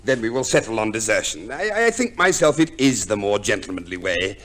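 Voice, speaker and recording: An actor playing a fussy, courteous solicitor with a formal, hesitant manner in a 1950s radio comedy. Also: male voice, lowish voice, sing-song, fairly quick, a bit bright. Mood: officious, businesslike. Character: fussy, unflappable English solicitor, officious and courteous.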